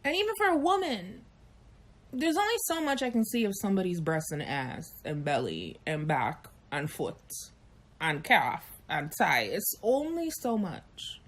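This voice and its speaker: A woman in her late thirties chatting frankly and animatedly on a live chat show, telling stories and acting out quick voices. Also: mid-range voice, sing-song. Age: 30s. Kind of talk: candid casual chat.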